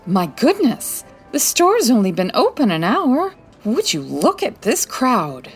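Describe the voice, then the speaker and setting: A woman playing a sassy, sharp female private detective in a comedic 1940s-style radio drama, with wry, teasing delivery. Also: fairly high pitch, huge pitch swings, measured pace, faint music behind, full character voice. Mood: brisk, teasing. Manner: wry, teasing, dry quips.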